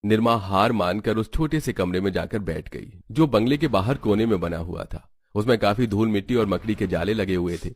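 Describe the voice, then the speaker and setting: A man narrating a cartoon moral story in a steady, even storytelling voice. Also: lowish voice, fairly quick.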